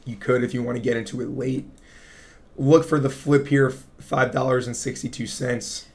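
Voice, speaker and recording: A man with a raspy voice hosting a casual crypto livestream, explaining charts to viewers and often checking in with "right?". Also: mid-range voice, level tone, normal speed, a little mellow. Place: home studio. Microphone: studio mic.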